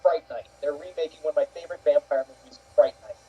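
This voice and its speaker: Very dark, husky man on dull audio. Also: on the high side, fairly even intonation, fast, faint music behind. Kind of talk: casual chat.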